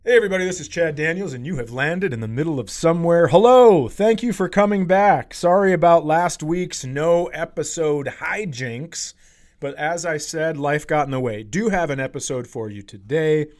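A man's voice: fairly high pitch, moderate pace, a little mellow. An American man, a comedian and podcast host, talking in a casual, rambling, joking and sometimes profane way.

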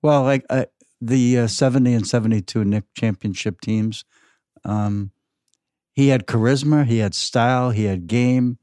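An older man chatting casually about sports as a podcast guest, halting and repeating his words. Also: mid-range voice, mellow, no echo, clean studio sound.

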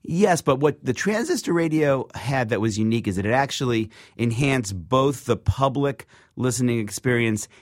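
A man's voice: medium pitch, expressive, normal speed, hardly any pauses, no echo, studio quality. A man with an American accent, an interview guest explaining things in a conversational, storytelling way. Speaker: interview guest, music record label founder.

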